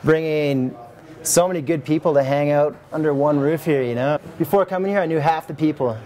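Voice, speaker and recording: A man's voice: on the high side, fairly quick, dark tone. A young man from Canada, a pro skier, chatting casually and easily in a lodge room. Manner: easygoing, laid-back, laughing often. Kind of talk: casual chat. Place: lodge room with trophies, indoors.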